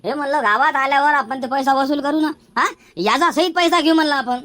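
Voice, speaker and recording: Comic village adviser, male character acted, high voice, coaxing and reasoning, Varhadi rural accent. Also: brisk, bright, forceful tone. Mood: persuasive. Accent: rural Maharashtra, Varhadi Marathi. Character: scheming, advising village man in a comic cartoon. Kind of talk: comic cartoon dialogue.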